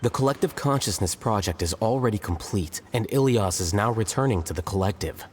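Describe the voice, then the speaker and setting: Man playing a low, grave, knowing game character. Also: expressive, slight background noise, close to an everyday voice. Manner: measured, serious, expository. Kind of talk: video game voice acting.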